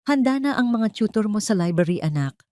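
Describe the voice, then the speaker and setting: A woman voicing an old, wealthy father, gentle yet authoritative, in an audio drama. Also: mid-range voice, lively intonation, a little fast, dry recording, clean studio sound, pitched above the performer's own voice, lightly acted. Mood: firm, urging. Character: tender, commanding old billionaire father, protective.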